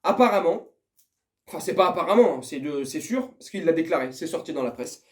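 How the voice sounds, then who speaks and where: A man's voice: on the high side, expressive, fairly quick. A man giving a casual, slangy football rant to his viewers, swearing and speaking to them as a friend. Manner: slangy, profane, addresses viewer familiarly. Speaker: football vlogger.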